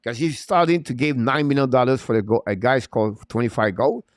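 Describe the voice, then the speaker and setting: A man's voice: mid-range voice, a little fast, no echo, clean studio sound. A man hosting a live hockey stream, giving unscripted, emphatic opinions and answering chat. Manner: opinionated, emphatic, repeats points.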